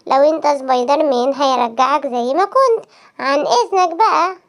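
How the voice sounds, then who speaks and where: Cartoon bunny: sceptical and bossy voiced by a woman, tiny high voice, quick, Egyptian accent. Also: natural voice. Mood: sceptical, brisk.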